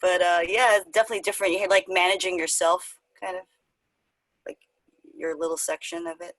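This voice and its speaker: A woman's voice: on the low side, steady tone, brisk, fairly bright tone, no echo. A woman, a theatre performer, chatting casually on an online panel. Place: remote video call.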